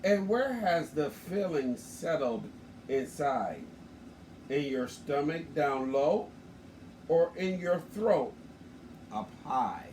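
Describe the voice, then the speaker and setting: A man reading a children's picture book aloud in a lively, sing-song way, taking turns with the host. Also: fairly high pitch, slow pace. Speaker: co-reader. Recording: echoey room, far from the mic, faint noise.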